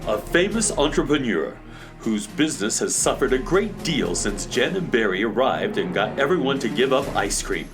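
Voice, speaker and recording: A man's voice: mid-range voice, sing-song, moderate pace, over music. An actor playing a lofty, all-knowing cosmic handyman in a children's radio drama, speaking formally and pompously. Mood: stern.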